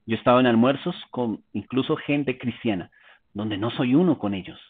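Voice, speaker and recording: A man preaching a teaching sermon, explaining Bible passages in a calm way and asking rhetorical questions. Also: mid-range voice, moderate pace, husky. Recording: tinny phone-like sound.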